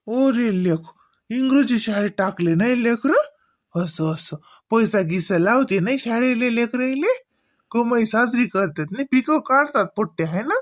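A woman's voice: on the high side, expressive, a little slow, no room sound, studio quality. A woman playing a modest, fretful old village grandmother in a rural comedy cartoon, grumbling in homely Varhadi Marathi. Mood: gently complaining. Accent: Varhadi Marathi, Vidarbha.

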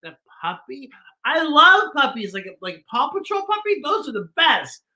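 A man's voice: very high voice, wildly swooping pitch, normal speed, bright, forceful tone. A middle-aged man, a drag performer hosting a children's show, talking in a playful, theatrical, exclamatory way through costume fangs that slightly impede the words. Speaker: children's story-time host, drag performer.

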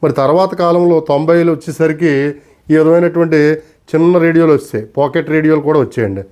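A man giving an unscripted demonstration, explaining deliberately and politely in short, broken phrases. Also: on the high side, darkish tone. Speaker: antique radio collector and presenter.

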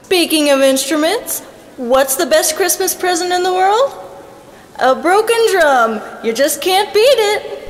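A perky female stage entertainer for an audio drama. Her voice is extremely high and wildly animated, with folksy, hammy patter. It rings with long hall reverb over faint music. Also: a little slow.

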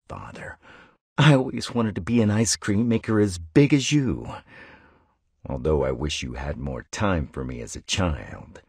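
A very raspy, creaky villain acted by a man for a horror game, a brooding ice cream maker. He talks slowly and menacingly, with wide swings in pitch and a dry, close sound. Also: darkish tone, dry recording, exaggerated voice. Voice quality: husky, gravelly. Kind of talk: dramatic game voice acting.

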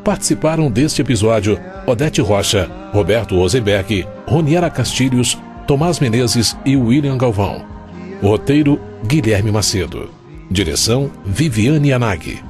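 A man's voice: mid-range voice, animated, a little slow. Brazilian male announcer over music. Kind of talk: scripted credits announcement.

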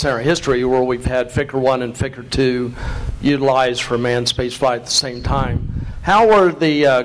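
A man's voice: medium pitch, slight background noise, poor audio. Man asking an informed question. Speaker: audience questioner. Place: large auditorium.

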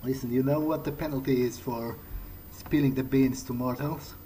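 Male gamer, mid-pitched, musing in character with halting phrasing, noticeable noise and game atmosphere underneath. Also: steady tone, dark tone. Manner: hesitant, thinking aloud. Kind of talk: improvised in-character video game roleplay. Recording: clearly noisy, game ambience underneath. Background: video game ambience.

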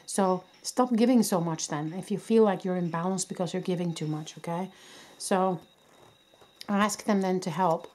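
A woman reads tarot cards at a candlelit table indoors, her voice worn from a cold. She speaks gently and reassuringly, with many fillers and small restarts. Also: fairly low pitch, moderate pace. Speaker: tarot reader. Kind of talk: casual pick-a-card tarot reading. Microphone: camera above a table, hands only.

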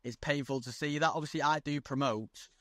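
A man's voice: a little high, a little fast, a bit bright, dry recording, studio quality. Young man from North Wales on a call. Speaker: guest, day trader. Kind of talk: casual video-call interview. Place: outdoors on a lawn by the coast. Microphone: video call.

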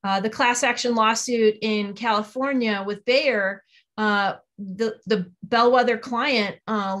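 A woman's voice: mid-range voice, fairly bright tone. A woman in her forties speaking earnestly and emphatically as an interview guest, explaining her advocacy work over a video call. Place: small home office, indoors. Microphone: video call on a computer.

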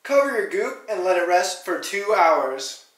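A young man co-hosting a home cooking tutorial, cracking silly deadpan jokes as he explains the steps. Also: sits high, a little slow, recorded from a distance. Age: young adult. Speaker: cooking show co-host. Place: small home kitchen, indoors. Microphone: camera mic from a distance. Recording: echoey kitchen from off-mic.

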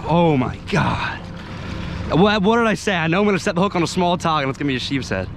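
A young American man giving excitable, slangy casual commentary while fishing, recorded outdoors on an action camera. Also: fairly high pitch, fast. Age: young adult. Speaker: fishing vlogger. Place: outdoors on a small boat under a bridge. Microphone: head-mounted action camera. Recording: noisy outdoor action-camera audio on boat.

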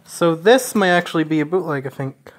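A woman narrating a casual unboxing in a halting, deliberate way. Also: low-pitched, expressive. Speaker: unboxing video maker.

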